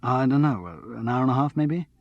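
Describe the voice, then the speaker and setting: A man's voice: mid-range voice, expressive, fairly quick, no room sound, highs cut off, natural voice. A man reading an audiobook, voicing a polite, reserved man who asks questions with slight hesitation. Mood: curious.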